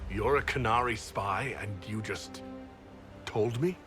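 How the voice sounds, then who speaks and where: An actor playing a heroic leader in a fantasy video game, speaking in a measured, formal, probing way. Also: male voice, lowish voice, close to an everyday voice. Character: male fantasy hero leader, measured and questioning. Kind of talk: video game character dialogue. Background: game music.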